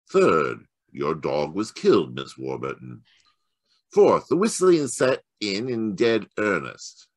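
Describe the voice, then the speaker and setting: Low, swooping radio detective, acted by a man. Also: fairly bright tone, no room sound, clean studio sound, exaggerated voice. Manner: halting, stammering, then deliberate and formal. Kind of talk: old-time radio mystery acting. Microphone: home microphone on a video call.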